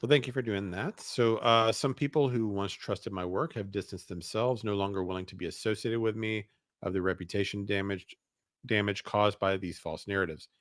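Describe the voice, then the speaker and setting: Deep-voiced man hosting, reading documents aloud. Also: fairly even intonation, moderate pace, no echo, studio quality. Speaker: show host.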